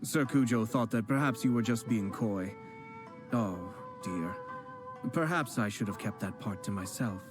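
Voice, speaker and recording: A man voicing a composed young male noble clan head who speaks in a measured, formal and polite way in a game. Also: lowish voice, close to an everyday voice. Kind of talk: video game voice acting. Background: game music.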